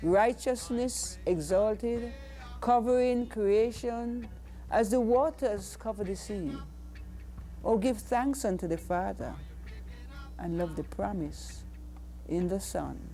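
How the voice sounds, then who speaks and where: An older Jamaican woman reciting poetry in a solemn, measured, declamatory manner. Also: mid-range voice, warm tone, soft background music. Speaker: poet.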